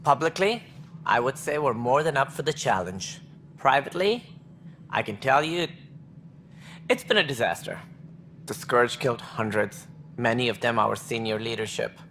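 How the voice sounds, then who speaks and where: An actor playing a stern, proud alien administrator in a video game, speaking in formal, measured, clipped phrases. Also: male voice, medium pitch, lively intonation, fairly bright tone, a little hiss or hum. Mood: somber. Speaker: alien colony director.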